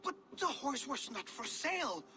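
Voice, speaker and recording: A man's voice: very high-pitched, sing-song, normal speed, bright, forceful tone, heavily acted. A man playing a vain, pompous, unrepentant village painter in a video game, speaking in a florid, theatrical, indignant way. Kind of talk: dramatic video game acting.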